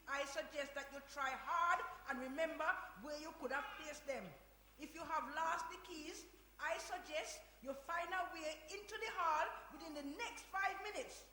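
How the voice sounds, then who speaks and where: A woman's voice: sits high, normal speed, bright, forceful tone. A woman playing a stern, exasperated boss who scolds and questions sharply in a live radio play. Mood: stern scolding. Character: stern, exasperated woman in charge of a community centre. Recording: echoey live room with faint noise.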